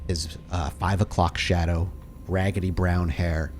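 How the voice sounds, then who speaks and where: A man narrating a tabletop horror game as storyteller, with dramatic, deliberate scene-setting. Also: low-pitched, flat delivery, a little mellow, slight background noise.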